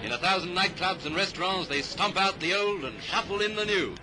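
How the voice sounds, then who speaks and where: A man's voice: high voice, animated, brisk, rough recording. A British man delivering scripted 1930s newsreel commentary in a clipped manner, on a vintage soundtrack. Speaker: newsreel narrator. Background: music.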